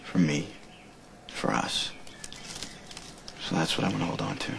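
A brooding young man with a troubled past in a soap opera. His voice sits very low with a gravelly edge, and he talks quietly and guardedly. Steady noise hums behind him on the TV audio. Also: measured pace, heavily acted. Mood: guarded tender. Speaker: actor in a daytime soap.

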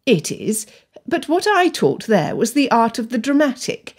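A woman plays a shrewd governess in an audiobook story. She is composed and firm, choosing formal words with poise. The voice is a little husky. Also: a little high, sing-song, measured pace, voice pushed up, exaggerated voice. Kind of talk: dramatised audiobook dialogue.

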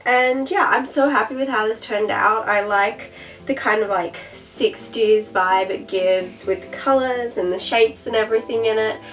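A woman's voice: on the high side, normal speed, fairly bright tone, recorded from a distance, soft background music, poor audio. A woman in her twenties with a slightly nasal voice from a cold, chatting through a sewing tutorial with frequent "um" fillers. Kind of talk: casual step-by-step voiceover.

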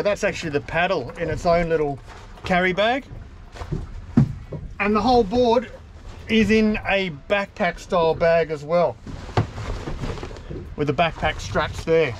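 A man's voice: high voice, background noise. A man in his forties with an Australian accent, chatting casually and rambling a little as he films himself on a small motorboat outdoors. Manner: chatty, rambling, self-deprecating. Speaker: boating vlogger. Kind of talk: casual vlog narration. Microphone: camera mounted on the boat.

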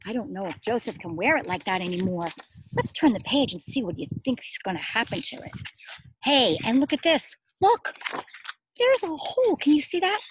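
Animated, high-voiced woman reading to small children. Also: moderate pace, a little hiss or hum, low-quality recording. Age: middle-aged. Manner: expressive, addressing small children with simple words and emphatic repetition. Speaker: preschool teacher reading aloud. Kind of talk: children's story read-aloud with explanations and questions. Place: outdoors in a garden.

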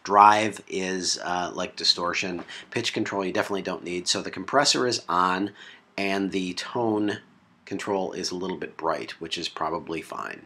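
A man presenting a software tutorial step by step in a halting way, recorded on a laptop microphone. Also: deep voice, slightly rough. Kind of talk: hands-on software tutorial narration. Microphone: built-in laptop microphone. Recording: noisy laptop mic sound.

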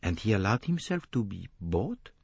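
A man voicing a gentle older male detective, measured and formal, in an audiobook novel. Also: fairly low pitch, very animated, gravelly, slightly rough, no room sound, full character voice. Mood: gentle, grave.

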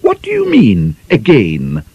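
A man's voice: a little high, wildly swooping pitch, takes it slowly, gravelly, warm tone, slightly rough, no room sound, lo-fi sound, pitched above the performer's own voice, heavily acted. A man voicing a prim young woman of twenty-four who protests indignantly at her friend's gossip.

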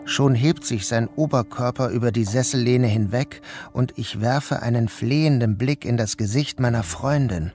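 A man's voice: medium pitch, normal speed, hardly any pauses, darkish tone, soft background music. A man narrating an audio drama in the first person, as its protagonist. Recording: noticeable background noise.

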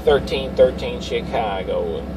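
A man's voice: mid-range voice, measured pace, distant mic. A middle-aged man chatting casually over CB radio in repetitive, slangy patter full of call signs. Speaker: CB radio operator. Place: inside a parked or running Suburban. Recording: loud vehicle noise, off-mic.

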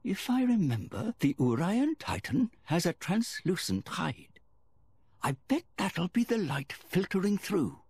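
A woman playing a composed, knowledgeable companion in a fantasy video game, measured and matter-of-fact. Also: lowish voice, sing-song, darkish tone, husky, no echo, heavily acted. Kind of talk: video game cutscene voice acting.

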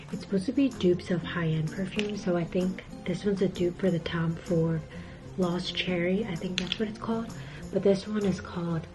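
A young adult American woman chatting casually to the camera as a vlogger, in a conversational way peppered with filler words like "like" and "honestly". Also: on the low side. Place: small indoor rooms at home, bedroom. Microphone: camera at arm's length or on a desk. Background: background music.

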